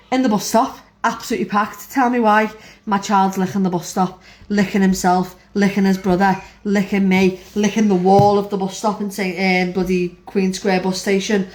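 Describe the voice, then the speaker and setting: A young woman and mother, casually rambling through her day to camera, frank and lively. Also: mid-range voice. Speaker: vlogger, mother. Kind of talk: casual chatty recap to camera. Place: home. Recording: echoey room.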